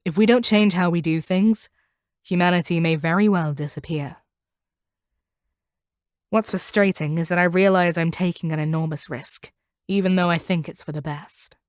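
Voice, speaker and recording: A proud female monster hunter, acted by a woman for a fantasy audio roleplay. Her voice sits on the low side, recorded close and dry. She talks down to her listener with stern, deliberate command and cold ruthlessness. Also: fairly even intonation, dry recording, natural voice. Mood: grave, disdainful. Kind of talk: scripted audio roleplay acting.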